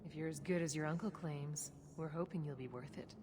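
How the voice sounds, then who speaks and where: An actress playing a shrewd, composed smuggler boss in a video game, speaking in a measured, wry, businesslike way. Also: female voice, deep voice, background noise, natural voice. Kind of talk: video game dialogue acting. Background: music.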